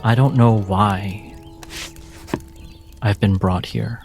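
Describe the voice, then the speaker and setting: A man playing a gentle, grave former fighter in a tabletop game, speaking in a measured, reassuring way. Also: fairly low pitch, fairly even intonation, soft background music. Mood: grave, consoling.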